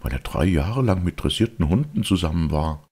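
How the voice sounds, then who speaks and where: A man voicing a callous coach driver in a storybook, brisk, dismissive and commanding. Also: deep voice, animated, mellow, slightly rough, voice pushed up. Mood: brusque. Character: brash, callous male coach driver hurrying everyone along.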